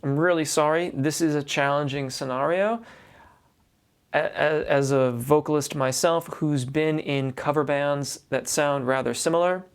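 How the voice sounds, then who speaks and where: A man's voice: on the high side, steady tone, a little slow, clean tone. A man who teaches voice, reading a viewer's question aloud and then giving practical advice, often restarting his sentences. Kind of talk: instructional talk to camera.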